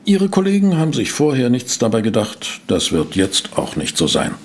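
An actor playing an eager, shrewd male investigator in a German audio drama, with a gravelly, husky voice and expressive delivery. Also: mid-range voice, moderate pace. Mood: reassuring.

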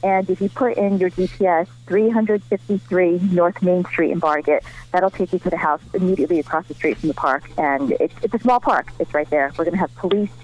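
A woman's voice: on the low side, brisk, few pauses, a little mellow. Casting: woman from Vietnam as a radio guest, cheerful and informative, muffled call-in line. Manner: upbeat, informative. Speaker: guest, memorial founder. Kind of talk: radio interview.

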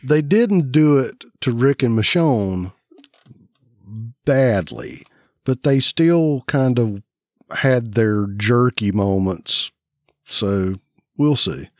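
A man's voice: mid-range voice, big pitch swings, slow pace, long pauses, a little mellow, dry recording. Easygoing man on a dry podcast mic. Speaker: podcast co-host. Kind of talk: casual podcast chat.

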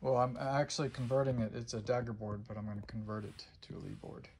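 A man's voice: mid-range voice, fairly even intonation, fast, a little mellow, breathy edge. A middle-aged man casually narrating his boat build in a woodworking shop, thinking aloud with plenty of fillers, recorded on a handheld phone. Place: large indoor woodworking shop.

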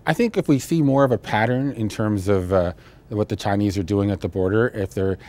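Low-voiced older man. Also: brisk, a little mellow. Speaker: interviewed trade expert. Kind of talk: television interview analysis. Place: indoor studio, seated interview.

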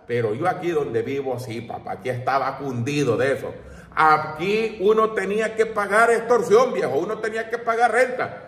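A middle-aged Salvadoran man giving a brash, slangy, emphatic opinion rant in an informal style. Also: sits high. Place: small home room with shelves, indoors. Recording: roomy echo, distant mic.

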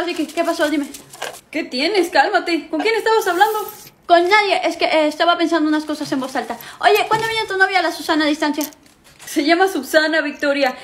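A young woman acting out a comedy sketch, switching quickly between characters in a small room at home. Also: sits high, fairly bright tone. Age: young adult.

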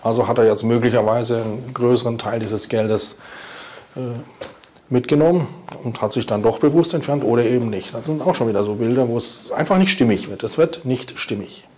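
A man's voice: medium pitch, a little fast, dark tone, a little hiss or hum. A man in his fifties speaking as a prosecutor in a formal interview. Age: 50s. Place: indoor office.

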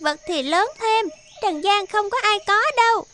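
A woman voicing a tiny, grateful magical talking sparrow in an animated folk tale. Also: very high-pitched, measured pace. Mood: kindly, explaining.

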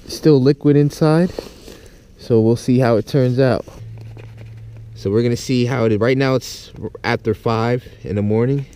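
Mellow-voiced man vlogging casually. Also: medium pitch, moderate pace, a little hiss or hum. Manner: informal, unscripted, addressing viewers. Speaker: vlogger, outdoor gear tester. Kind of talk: casual vlog talk. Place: outdoors in a yard, in and around a tent.

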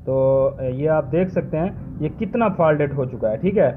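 A man in his thirties talks through a brake valve repair in a truck workshop, speaking a little higher than most men. He checks in with the viewer after almost every step. Faint workshop and vehicle noise sits under his voice. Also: animated, moderate pace, narrow, tinny audio. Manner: explanatory, repeats "theek hai" to check in with the viewer; uses frequent Islamic phrases like inshallah and mashallah. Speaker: truck mechanic. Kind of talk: hands-on repair tutorial.

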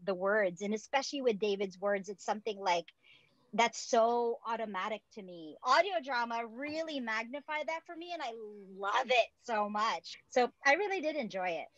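A woman theatre director talking casually on a video call from home, animated and full of fillers, laughing often. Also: on the high side, moderate pace, dry recording, highs cut off. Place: home room, indoors. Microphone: laptop webcam on video call.

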